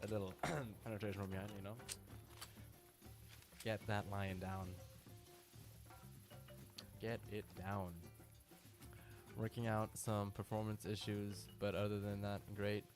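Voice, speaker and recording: Low-voiced man chatting over music. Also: a little slow, long pauses. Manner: relaxed, chatty, talks to viewers by name. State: tired from little sleep. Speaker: game streamer. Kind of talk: casual live-stream chat. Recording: clear music bed behind voice. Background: music playing.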